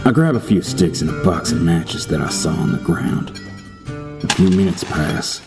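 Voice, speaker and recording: A man playing a grim, laconic Old West gunslinger who narrates his own tale in a western audio drama over loud music. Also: low voice. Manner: terse, folksy, hard-bitten. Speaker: protagonist and narrator.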